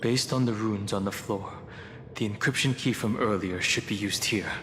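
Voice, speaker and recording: Slow, low, solemn male guide, man acting. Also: noisy background, natural voice. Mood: reflective. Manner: measured, solemn explaining. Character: grave, thoughtful male guide in fantasy game. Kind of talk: dramatic game exposition. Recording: long reverb over music.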